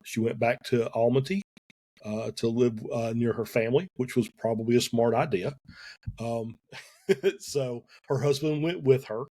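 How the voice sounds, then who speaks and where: A man's voice: mid-range voice, mellow. Man with a Southern drawl. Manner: halting, many fillers and restarts, chuckles often. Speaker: guest, sim racing team co-owner. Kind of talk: casual podcast interview.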